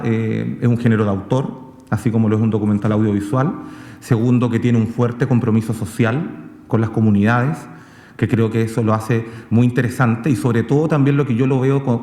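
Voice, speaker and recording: A man gives an informal talk in a reverberant hall, with plenty of echo around him. His pitch is middling and his delivery halting, as he feels his way through the presentation. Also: darkish tone. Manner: hesitant, halting, checking with the audience. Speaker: presenter, sound documentary maker. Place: reverberant conference room. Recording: echoey hall with long reverb.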